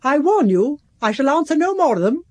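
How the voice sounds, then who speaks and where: Older mother in a radio play, haughty and defiant, highish voice that swings about a lot. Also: big pitch swings, fairly quick, darkish tone. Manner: imperious, indignant, clipped. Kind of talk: British radio drama acting.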